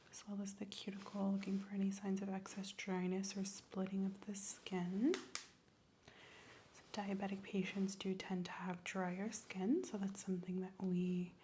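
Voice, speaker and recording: A soft-spoken woman plays a doctor in an ASMR medical exam. She is gentle and reassuring, explaining each step in a mid-pitched voice that often drops into a whisper. Faint noise and clicks sit under the recording. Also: monotone, unhurried. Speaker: ASMR performer role-playing a doctor.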